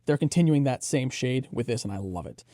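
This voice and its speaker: A man's voice: mid-range voice, expressive, brisk, mellow, dry recording, studio quality. A man co-hosting a product review, explaining and giving his impressions in a conversational way. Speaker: headphone show co-host reviewer.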